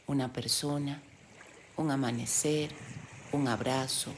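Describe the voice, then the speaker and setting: A woman leading a guided meditation in a gentle, soothing delivery with pauses. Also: extremely low pitch, slight background noise. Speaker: meditation guide, yoga teacher. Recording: steady loud sea-wave background sound. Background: sound of ocean waves.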